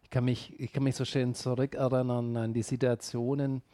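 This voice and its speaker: A man with a Swabian German accent talks softly and gently, asking questions in a relaxed chat. His voice is mellow and dark, recorded dry and close. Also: medium pitch, brisk, no room sound. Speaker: podcast co-host. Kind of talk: casual conversation.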